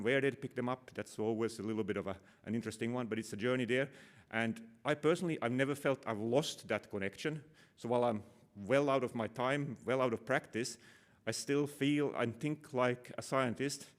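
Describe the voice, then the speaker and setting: Rambling middle-aged man giving a conference talk. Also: medium pitch. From Finland. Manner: casual, rambling, self-deprecating, many fillers and restarts. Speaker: conference speaker, operations manager. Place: conference room.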